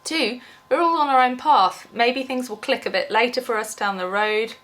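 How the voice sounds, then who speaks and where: A woman's voice: a little high, normal speed, fairly bright tone. A young woman with a cold, chatting in an unscripted, rambling vlog, full of ums and restarts. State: has a cold, losing her voice. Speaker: small YouTuber.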